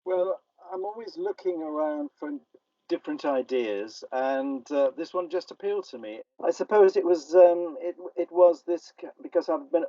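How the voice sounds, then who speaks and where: A man's voice: a little high, warm tone, no echo. An older man with a British accent answering interview questions conversationally as a playwright, a little hesitant, over a remote call line. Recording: dull, band-limited call line.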